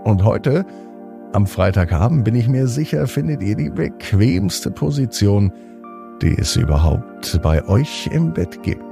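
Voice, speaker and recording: A man narrates a children's bedtime story as a podcast host, gently and expressively, drawing out words. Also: fairly low pitch, big pitch swings, measured pace, vocal fry, over music.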